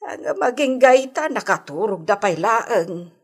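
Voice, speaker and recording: A woman's voice: a little high, animated, a little slow, breathy edge, exaggerated voice. An actress playing a suspicious, fretful mother in an Ilocano radio drama. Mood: worried. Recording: noisy old radio recording.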